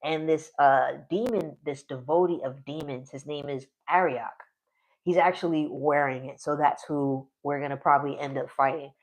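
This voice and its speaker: A man's voice: a little high, fairly even intonation, normal speed, poor audio. Rambling chatty man in his forties. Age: 40s. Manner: rambling, chatty, laughs often, trails off mid-sentence. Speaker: solo game streamer. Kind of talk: casual live-stream narration of solo play.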